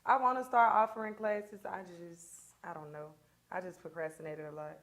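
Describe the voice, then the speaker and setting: A woman's voice: on the low side, normal speed. A woman chats with lots of life, calling out to viewers with easy slang. She is a hairstylist talking while she works in a beauty studio. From Mississippi. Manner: lively, talks straight to viewers with slang. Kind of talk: casual chat during a live hair install.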